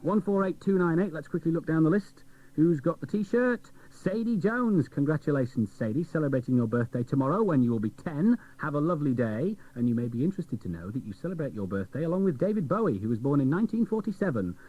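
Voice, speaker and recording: Lively radio presenter, dull cassette sound. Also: male voice, fairly high pitch, fast talker. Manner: chatty, addresses listeners directly. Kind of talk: children's radio show presenting.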